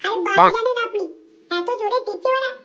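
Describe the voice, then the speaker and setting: A woman's voice: very high-pitched, brisk, muffled recording, a crowd in the background. A woman voicing an anxious, complaining young village woman with a whiny, fretful manner in a comedy cartoon.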